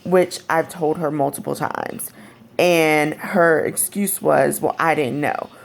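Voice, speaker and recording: A young woman with a raspy, deep voice, speaking candidly in a personal vlog. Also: fairly even intonation, slow. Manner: candid, frank and conversational. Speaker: vlogger. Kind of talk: personal storytelling to camera.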